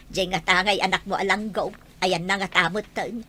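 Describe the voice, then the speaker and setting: Husky elderly Ilocano grandmother played by an actress. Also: on the low side, fairly even intonation, moderate pace, sharp tone. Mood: fond teasing. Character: elderly grandmother, reflective and caring. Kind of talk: Ilocano audio drama acting.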